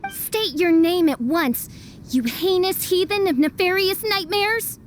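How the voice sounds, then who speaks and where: A woman's voice: extremely high pitch, unhurried, slight background noise. A woman voicing a theatrical young princess who speaks in florid, archaic phrases. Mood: grandiose. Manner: florid, archaic, melodramatic speech. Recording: game soundtrack music behind.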